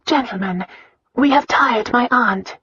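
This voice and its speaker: A woman's voice: fairly high pitch, expressive, moderate pace, a bit bright, breathy edge, no room sound, highs cut off, pitched above the performer's own voice. A woman voicing a poised, determined eighteen-year-old princess in an audiobook, speaking politely and firmly with control. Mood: cool, composed. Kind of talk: audiobook character acting.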